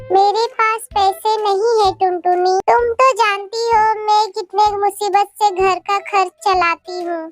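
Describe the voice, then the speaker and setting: A very high, tiny, earnest bird voice acted out by a woman for a children's cartoon. She speaks plainly with a plaintive edge, over background music. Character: poor, anxious mother bird and her little daughter. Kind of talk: Hindi children's cartoon dialogue. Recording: music behind the voice.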